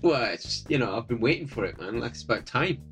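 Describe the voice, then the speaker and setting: A man with a soothing voice, talking in a relaxed, friendly way as a podcast guest. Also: mid-range voice, brisk, faint music behind. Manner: relaxed, friendly, gently humorous. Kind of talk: casual podcast chat.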